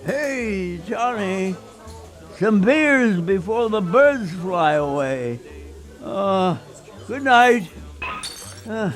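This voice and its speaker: A man's voice: sits high, lively intonation, drawn-out words, pauses often, faint music behind, exaggerated voice. A man playing an eerie, addled barfly in a radio play, rambling and exclaiming in a dazed way.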